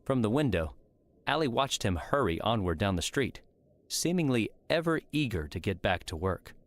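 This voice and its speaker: A man's voice: lowish voice, sing-song, normal speed, no echo. A man reading scripted story narration as an audiobook narrator.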